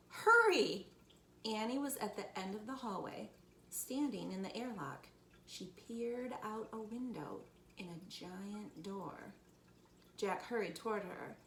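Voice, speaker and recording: Creaky-voiced woman reading to young children. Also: mid-range voice. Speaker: teacher reading to first graders. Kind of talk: children's storybook read-aloud. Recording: echoey room, background noise.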